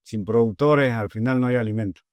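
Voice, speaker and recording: A middle-aged man with a Bolivian Spanish accent, a guest in an interview, who answers in a measured, earnest way with pauses and fillers. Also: mid-range voice, a little mellow, no echo. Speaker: agricultural leader, guest.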